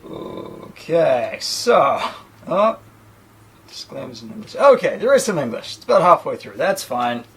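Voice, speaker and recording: Man, 30s, New Zealand accent, chatty rambling unboxing talk, animated pitch, camera mic in a small room. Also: a little slow, creaky, a little hiss or hum. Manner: chatty, rambling, self-deprecating asides. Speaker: tech reviewer. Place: small indoor room against a plain sheet backdrop.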